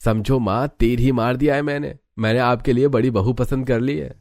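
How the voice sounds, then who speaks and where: A man's voice: mid-range voice, steady tone, moderate pace, darkish tone, dry recording, clean studio sound, close to an everyday voice. A man playing a lazy, scheming young son in a Hindi cartoon story, with a whiny, cocky manner. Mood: persuasive.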